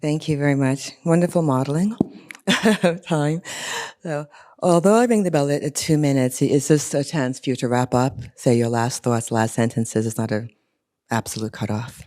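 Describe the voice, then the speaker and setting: Mellow-voiced woman moderator. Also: extremely low pitch, normal speed. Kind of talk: panel introductions.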